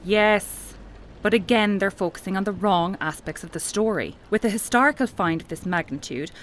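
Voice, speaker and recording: A woman's voice: medium pitch, moderate pace, a little hiss or hum, lightly acted. A woman playing a brusque, defensive archaeology professor in an audio drama, speaking formally and dismissively. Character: renowned female archaeology professor, brusque, defensive, obsessed with her find.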